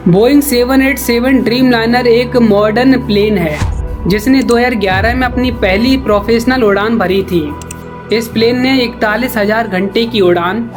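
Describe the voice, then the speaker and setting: A woman delivers scripted documentary-style news narration. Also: a little high. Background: background music.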